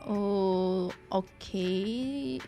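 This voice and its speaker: A woman chatting casually on a live game stream, reacting excitably and repeating her phrases. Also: medium pitch, very slow, soft background music.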